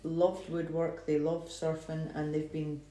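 A woman, a church planter, telling family stories in earnest, relaxed dinner table chat. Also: sits low, measured pace. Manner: earnest, reflective storytelling. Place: dining room. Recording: roomy with faint noise.

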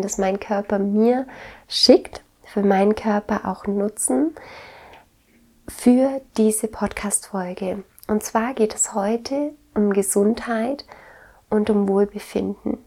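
A woman hosting a reflective wellness podcast, congested with a cold, speaking gently and earnestly straight to the listener. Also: mid-range voice. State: congested with a cold, stuffy nose. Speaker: podcast host.

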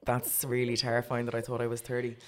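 A woman's voice: a bass voice, monotone, fast. An Irish woman in her thirties, chatting candidly and telling stories in a frank, self-mocking way. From Ireland. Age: 30s. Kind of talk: candid casual chat.

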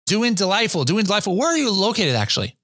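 A man's voice: high voice, sing-song, fast, fairly bright tone, no echo, clean studio sound. A man hosting a podcast, asking questions in an upbeat, conversational way. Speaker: podcast host. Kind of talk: podcast interview.

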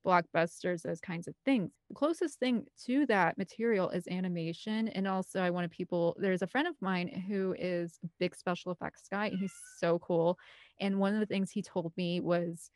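A woman's voice: lowish voice, moderate pace, a little mellow, no room sound, clean studio sound. An American woman in her thirties from the Midwest, talking casually and enthusiastically in a rambling, hyper way as an interview guest. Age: 30s. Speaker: guest, film composer and director.

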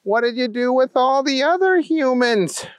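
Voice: sits high, lively intonation, moderate pace, clear, resonant tone, no room sound, pitched above the performer's own voice. A storyteller voicing a bewildered, anxious man in his thirties in a comic story. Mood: worried.

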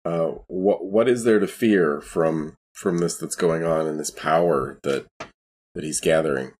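A man playing a tabletop game, asking questions casually in a hesitant, halting way. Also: rumbling low, a little mellow, slightly rough.

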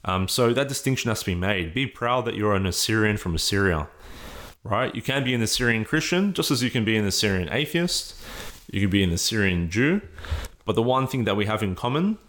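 Interview guest, man, low voice, patient and earnest, explains step by step. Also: lively intonation, a little fast, a bit bright. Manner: explains patiently, often checks with "right?".

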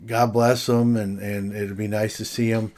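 Low-voiced man in reflective casual talk. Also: level tone, normal speed, a little mellow. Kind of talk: casual sports talk conversation.

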